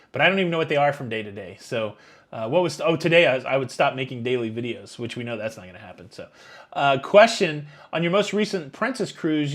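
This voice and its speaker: A man around fifty hosting a live stream, reading viewer questions and answering in a rambling, chatty way with many fillers. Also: mid-range voice. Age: 50s. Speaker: YouTube cruise channel host. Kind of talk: live Q&A chat reading super chats.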